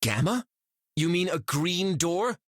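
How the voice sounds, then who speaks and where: A man's voice: high-pitched, very animated, slow, a bit bright, heavily acted. A man voicing a brainy, wry, slightly anxious scientist in a video game. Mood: wry, exasperated. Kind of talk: video game character dialogue.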